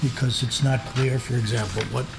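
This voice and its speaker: A man's voice: medium pitch, steady tone, a little fast, vocal fry, background noise. A man speaking on an academic panel, explaining ideas in a thoughtful way, with some fillers. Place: large meeting room with seated audience. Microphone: table microphone.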